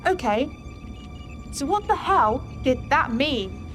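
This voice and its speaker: A woman's voice: high-pitched, very animated, slow pace, a bit bright, soft background music, exaggerated voice. A woman playing a blunt, suspicious starship master chief in a science fiction audio drama. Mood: blunt, questioning. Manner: blunt, challenging.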